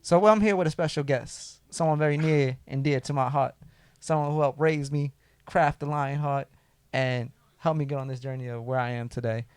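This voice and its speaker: A man hosting a casual podcast, hoarse from losing his voice and talking in a laid-back, slangy way. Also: a little high, steady tone, normal speed, a little mellow. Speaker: podcast host. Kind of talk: casual chat.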